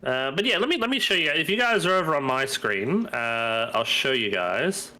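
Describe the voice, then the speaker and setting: A man's voice: on the high side, big pitch swings, normal speed, pushed and bright. A man with a stuffy nose from hay fever, chatting casually on a live stream and thinking aloud as he browses. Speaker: stream co-host.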